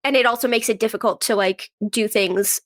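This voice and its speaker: A woman's voice: fairly high pitch, a little fast. A woman speaking casually as an interview guest, in a conversational way peppered with "like". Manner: conversational, frequent "like". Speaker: guest, actress and writer.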